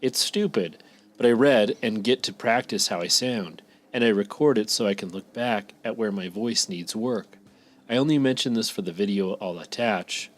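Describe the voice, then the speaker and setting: A man's voice: on the low side, normal speed. A man co-hosting a podcast, reading a listener's scary story aloud.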